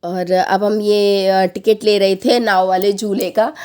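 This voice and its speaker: A woman's voice: medium pitch, fairly even intonation, a little mellow, clean tone. Woman vlogger with a sore throat. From Lucknow, India. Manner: chatty, lists prices with frequent hesitant pauses. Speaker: family vlogger. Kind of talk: voice-over narration of a family outing.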